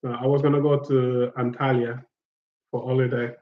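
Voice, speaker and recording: Guy from guinea-bissau, slow thoughtful chat, stops and restarts a lot, sounds kinda muffled and roomy. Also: medium pitch, little pitch movement. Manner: hesitant and reflective, repeats words while searching for them. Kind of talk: casual chat.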